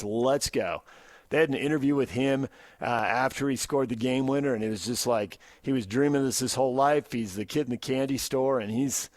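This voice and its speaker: A man's voice: mid-range voice, no echo. An American man talks sports as a radio host in a casual, emphatic way. His voice sits in a middle register, and the studio sound is close and dry. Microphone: close studio microphone.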